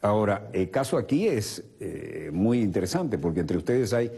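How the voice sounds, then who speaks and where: Low-voiced male TV host. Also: sing-song, normal speed, gravelly, mellow, husky. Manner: expansive, colloquial asides. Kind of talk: studio TV interview. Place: TV studio. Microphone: lapel mic.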